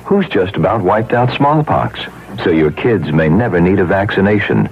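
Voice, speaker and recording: Very deep, animated male announcer. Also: moderate pace. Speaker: public service announcer. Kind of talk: scripted radio advertisement. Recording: dull old radio, faint noise.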